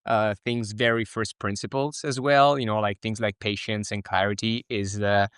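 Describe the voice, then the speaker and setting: A man from Spain hosting a conversational interview, speaking in halting phrases with frequent repeats. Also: fairly low pitch, fairly even intonation, a bit bright, clear, resonant tone, no room sound, studio quality. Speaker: podcast host.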